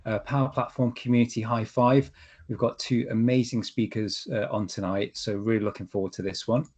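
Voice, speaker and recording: A man hosting an online user group, relaxed and joking, with frequent uh fillers, over a video call. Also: fairly low pitch, fairly quick, slightly rough. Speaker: host. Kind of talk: casual online meetup hosting.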